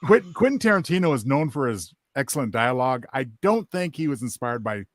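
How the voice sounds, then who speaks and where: A man's voice: medium pitch, big pitch swings, a little fast. A man giving casual, wry, mock-serious commentary as part of a listening party.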